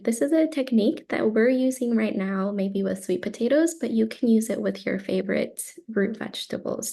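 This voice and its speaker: A woman guest, a dietitian, talks through her work in an upbeat, explanatory way. Her voice sits at a mid pitch with a noticeable gravelly fry. She drops in casual fillers as she goes. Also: warm tone. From Texas, born in Colombia. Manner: enthusiastic, explanatory, with frequent fillers. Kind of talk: casual interview talk.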